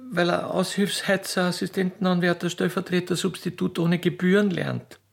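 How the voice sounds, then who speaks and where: Man putting on a high, pleading Austrian girl. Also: little pitch movement, normal speed, creaky. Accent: Austrian dialect. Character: pleading young peasant girl, naive and devoted.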